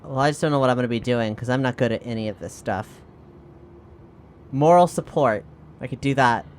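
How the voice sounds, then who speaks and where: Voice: medium pitch, fairly quick, a little mellow. Casual tabletop gamer, noisy background. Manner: informal, jokey, speaks in character at times. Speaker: tabletop RPG player. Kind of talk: casual improvised tabletop game chat.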